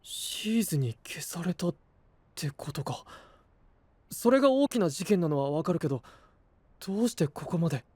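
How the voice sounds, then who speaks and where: A man's voice: a little high, expressive, moderate pace, pauses often, mellow, breathy edge, dry recording. A male actor playing an earnest, concerned young hero in an audio drama, speaking directly and seriously. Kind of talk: Japanese anime-style audio drama acting.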